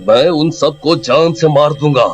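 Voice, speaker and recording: Man as high-voiced, determined village husband. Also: a little slow, soft background music, close to an everyday voice. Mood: indignant. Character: protective, determined village husband, bold and commanding.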